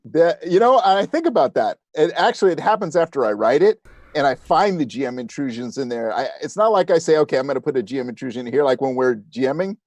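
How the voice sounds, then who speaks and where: Man, podcast interview guest, enthusiastic, animated pitch, dry sound, casual chat. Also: quick pace, dry recording. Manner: enthusiastic, expressive.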